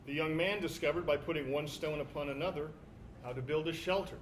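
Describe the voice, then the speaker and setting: A man's voice: a little high, a little fast, rough recording. A man performing on stage as a reader in a literary dramatization. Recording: live stage recording with background noise.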